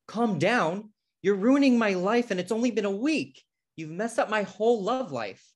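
A man's voice: high voice, animated, moderate pace. A man playing an uptight, exasperated twin brother, indignant and earnest, in a sitcom table read on a video call. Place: indoor room at home on video call.

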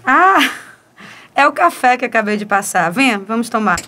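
A woman's voice: fairly high pitch, expressive, moderate pace, pushed and bright. A woman playing a flirtatious, self-assured seductress in a radio soap opera.

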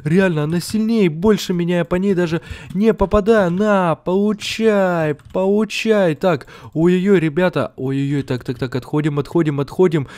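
A man's voice: high voice, normal speed, few pauses, darkish tone. A young man doing exclamatory Let's Play commentary, repeating himself and addressing his viewers. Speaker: gaming video host.

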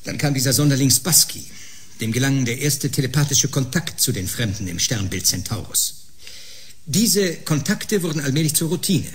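A man acts out a combative, scornful doctor in a science-fiction radio drama. His medium-pitched voice is emphatic and theatrical. He speaks with sarcasm and open scepticism. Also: lively intonation, normal speed, natural voice. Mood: defensive, grim. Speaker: actor in a sci-fi audio drama. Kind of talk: German sci-fi radio play acting.

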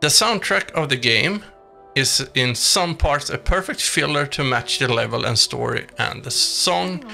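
A man narrates a scripted game review in a very bright, forward voice. There is a clear gravelly creak to it, and music plays faintly behind him. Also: medium pitch, lively intonation, a little slow. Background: background music.

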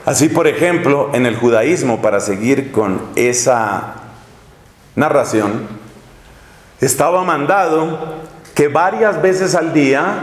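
A middle-aged man, a Dominican friar, giving a lecture-style sermon, gesturing and slowing down to stress key phrases. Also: a little high. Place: large tiled hall with a projection screen. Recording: echoey hall.

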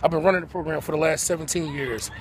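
A man's voice: a little high, fairly even intonation, fast. A man in his forties giving casual interview answers as a youth sports program director. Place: outdoors by a sports complex. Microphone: handheld phone. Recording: outdoor phone video with background noise.

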